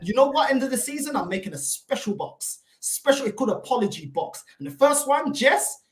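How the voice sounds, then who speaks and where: A woman's voice: high voice, very animated, fairly quick, roomy sound, soft background music. A woman on a remote call to a football fan panel, ranting in a fiery, mocking way and throwing in Portuguese insults. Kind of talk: heated fan rant on a panel show.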